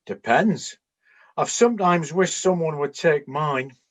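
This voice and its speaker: An actor playing a bored, vain Trojan soldier who grumbles wistfully in a comic radio play. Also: male voice, fairly high pitch, unhurried. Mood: wounded pride. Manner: grumbling, wistful, droll bantering. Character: bored, vain, plain-looking male Trojan soldier on night watch.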